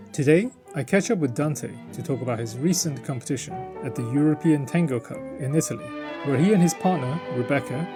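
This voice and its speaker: A man hosting an interview podcast, introducing topics and asking questions with short acknowledging replies. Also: mid-range voice.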